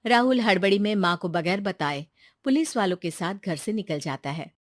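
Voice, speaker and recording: A woman's voice: mid-range voice, animated, moderate pace, no echo, clean studio sound. A woman reading a scripted story as its narrator.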